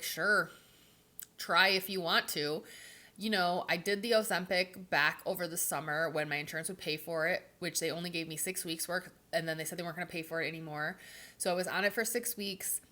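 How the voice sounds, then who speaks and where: A woman's voice: lowish voice, pushed and bright. A woman giving a rambling, casual vlog update, her voice stuffy from a cold and full of fillers. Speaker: vlogger.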